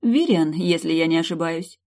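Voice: mid-range voice, expressive, normal speed, darkish tone, natural voice. A narrator voicing a self-assured, teasing dragoness queen in a storybook, with a playful, commanding manner. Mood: amused, regal.

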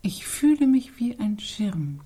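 Musing observer for radio play: medium pitch, mellow husky voice, cool fascination. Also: female voice, sing-song, takes it slowly, exaggerated voice. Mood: eerie musing. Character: musing female observer of photos, coolly fascinated. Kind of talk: German radio play, stylised dialogue.

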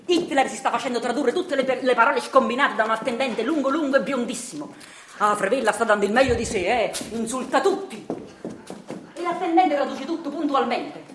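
A woman's voice: fairly high pitch, brisk, fairly bright tone. Theatrical woman narrator, echoing room. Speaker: solo actress narrating. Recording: roomy sound with faint noise.

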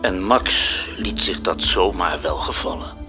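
A deep, lofty, jaded celestial superior. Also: male voice, measured pace, over music. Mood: dry, mildly sardonic. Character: lofty, jaded male heavenly superior hearing a report. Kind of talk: radio drama acting.

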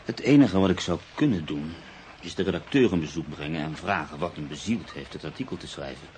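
Deep-voiced, world-weary literary man. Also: lively intonation, a little mellow, a little hiss or hum. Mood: calm, genial. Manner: measured, reflective, formal wording. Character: cultured, world-weary male journalist and writer, calmly ironic. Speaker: lead actor and first-person narrator. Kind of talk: Dutch radio drama acting and narration.

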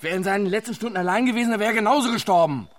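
A man's voice: sits high, very fast, natural voice. An actor playing a stressed, self-justifying man in his forties in a German radio drama, hesitant yet urgently coaxing the others. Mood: exasperated.

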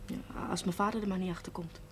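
A woman's voice: lowish voice, steady tone, quick pace, natural voice. A woman playing a brash, cheerful young pregnant woman in a television period drama, slangy and unashamed. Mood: frank, cheerful. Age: young adult. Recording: noisy old television soundtrack.

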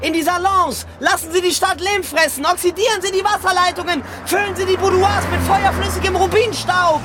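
A woman's voice: very high voice, fairly even intonation, a little fast, loud music behind the voice. An actress playing a wild, foul-mouthed woman ranting theatrically in a radio drama. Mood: furious, exalted.

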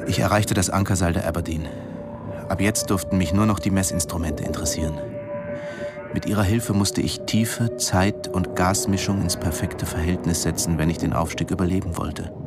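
Deep voiced dive instructor, brooding and measured, radio drama with music under it. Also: male voice, steady tone. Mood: brooding, tense. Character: brooding male diving instructor recounting a dangerous dive. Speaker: narrator and lead actor in a radio drama. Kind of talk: German radio drama acting, first-person narration.

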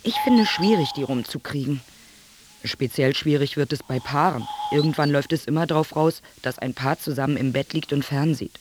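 A man's voice: on the high side, moderate pace, background noise, natural voice. An actor playing a bored man philosophising about tedium in a radio drama monologue. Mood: bored musing.

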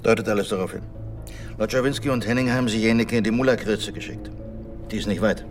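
An actor playing a dry, sceptical police officer in a radio drama, curt and matter-of-fact. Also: male voice, fairly low pitch, fairly even intonation, fast, close to an everyday voice. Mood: dry, suspicious. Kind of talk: German radio drama acting. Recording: off-mic, distant, faint music.

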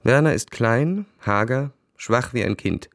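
An actor playing a proud, bored, cynical army officer who tells his story in a cool, measured, ironic way for a radio play. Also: mid-range voice, lively intonation, no echo, studio quality. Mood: observant. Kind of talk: literary radio play narration and dialogue.

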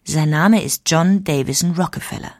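A woman's voice: deep voice, normal speed, a little mellow, no room sound, studio quality. A woman narrating a documentary audiobook with dramatic emphasis.

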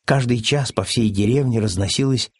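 A man's voice: mid-range voice, normal speed, slightly rough, no room sound. A man reading a children's story audiobook as its narrator.

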